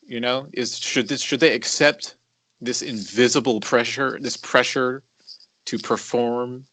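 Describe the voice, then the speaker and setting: A man in his mid-thirties talking as a podcast co-host in a reflective, confessional way, halting and deliberate, repeating words for emphasis. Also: medium pitch, fairly even intonation, fairly bright tone, no room sound.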